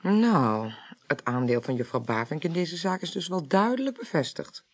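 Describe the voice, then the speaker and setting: A composed, shrewd female parliamentary clerk in a Dutch radio play. Her voice is fairly low, with very lively intonation. She speaks formally and politely, choosing words with deliberation. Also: moderate pace. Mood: thoughtful. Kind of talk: Dutch radio drama acting.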